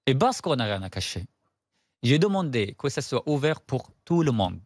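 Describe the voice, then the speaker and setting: A man from Syria, an Arabic speaker, speaking as a guest author on a radio talk show in an animated, insistent way, often repeating words as he stumbles. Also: medium pitch, moderate pace, dry recording. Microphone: studio microphone.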